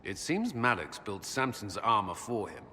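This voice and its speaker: Grave low-voiced commander, echoing hall. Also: male voice, big pitch swings, sharp tone, slight background noise. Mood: somber, reflective. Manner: grave, measured, earnest delivery. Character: stern, troubled military commander and former templar. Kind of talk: video game dramatic acting.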